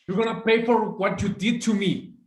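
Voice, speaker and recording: Man playing a high-voiced young street tough, echoey. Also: steady tone, normal speed, natural voice. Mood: defiant, bitter. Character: sullen, defiant young street tough, a grandson. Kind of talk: screenplay table read. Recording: roomy, echoey sound.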